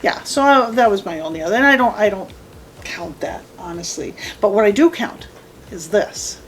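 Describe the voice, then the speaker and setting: A middle-aged woman casually telling a personal story, animated and emphatic, often repeating her phrases. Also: mid-range voice, normal speed, slight background noise. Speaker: storytelling guest, mother of the host.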